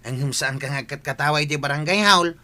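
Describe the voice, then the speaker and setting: A man's voice: fairly high pitch, quick pace, bright, forceful tone. A man with a Filipino accent playing a practical, matter-of-fact village chief in an audio drama. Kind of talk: radio drama acting.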